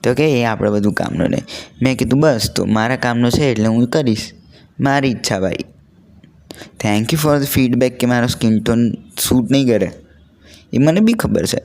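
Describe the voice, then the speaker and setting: A man's voice: medium pitch, moderate pace, a little mellow. A young adult man chats casually and earnestly, telling stories in a conversational way and mixing in English words. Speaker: vlogger.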